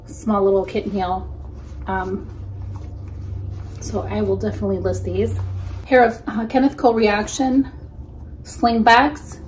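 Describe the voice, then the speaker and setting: A woman's voice: mid-range voice, fairly even intonation, a little slow, long pauses, a little hiss or hum, poor audio. Chatty woman unboxing secondhand shoes. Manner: chatty, easygoing, frequent ums. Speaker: reseller vlogger. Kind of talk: casual unboxing commentary. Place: small indoor room against a plain wall.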